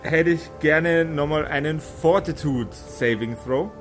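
A man's voice: on the high side, measured pace, soft background music. A man running a tabletop game as game master, improvising narration for the players.

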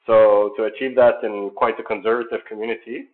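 A man from Jordan, founder of a sports program, answering interview questions in an earnest, measured way with many fillers. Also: on the low side, level tone. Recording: tinny phone-like line.

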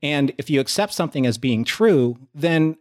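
A man's voice: fairly high pitch, animated. Earnest man in reflective discussion. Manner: earnest, philosophical, measured phrasing. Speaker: podcast panelist.

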